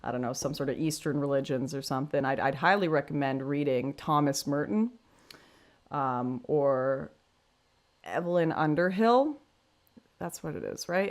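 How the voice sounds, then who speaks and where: Deep-voiced woman chatting on a livestream. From Canada. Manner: chatty, frank, self-deprecating, thinks aloud with restarts. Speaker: livestream host. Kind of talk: casual livestream chat reading super chats.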